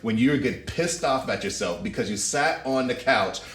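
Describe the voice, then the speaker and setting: A man's voice: mid-range voice, lively intonation, moderate pace, a bit bright, reverberant. A man hosting a casual talk show, chatting in a laid-back, teasing way. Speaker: host. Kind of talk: casual chat.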